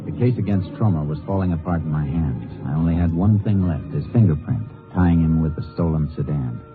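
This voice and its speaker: A deep, clipped Texas Ranger speaks with steady authority, as in a mid-century radio crime western. He is terse and matter-of-fact. The sound is thin and narrow, an old broadcast with music around it. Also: fairly quick. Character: steady, no-nonsense Texas Ranger investigator and narrator. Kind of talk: 1950s radio drama acting with terse first-person narration.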